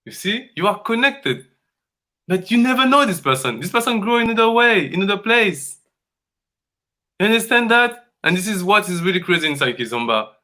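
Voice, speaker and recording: Forceful man, earnest coaching talk. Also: high-pitched, lively intonation. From Congo. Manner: earnest and emphatic, repeats phrases, halting non-native English. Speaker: dance coach.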